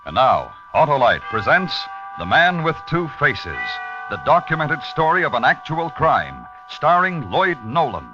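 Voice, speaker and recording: Old radio announcer guy, american, dramatic and measured, very lively intonation, music under him, dull sound. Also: fairly high pitch. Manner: portentous, measured. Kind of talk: dramatic 1950s radio program introduction. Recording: muffled vintage radio broadcast with music.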